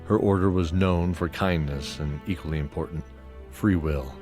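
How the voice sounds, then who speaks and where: A man narrating a scripted fantasy audio drama in a measured, descriptive storytelling manner. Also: deep voice. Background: music.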